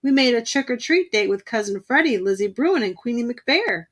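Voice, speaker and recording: A woman voicing an eager, excitable young boy bear cub from a children's storybook. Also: on the high side, moderate pace.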